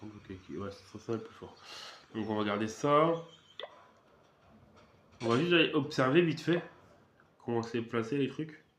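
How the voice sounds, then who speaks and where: A man with a cold giving relaxed, rambling commentary while playing a mobile strategy game, with many fillers and restarts. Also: medium pitch, moderate pace, pauses often, echoey room, slight background noise. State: has a cold. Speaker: gaming channel host. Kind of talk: casual gameplay commentary.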